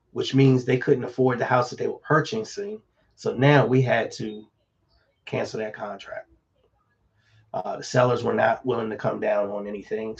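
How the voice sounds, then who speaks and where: A man, slightly hoarse, giving casual real estate advice in a relaxed, conversational way with many fillers. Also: medium pitch, highs cut off. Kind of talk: casual live-stream advice talk.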